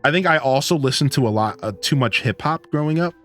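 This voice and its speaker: A man's voice: on the high side, expressive, quick pace, a bit bright, soft background music. A man from Ohio with a Midwestern twang, chatting casually with live-stream viewers in a rambling, laid-back way. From Northeast Ohio, USA.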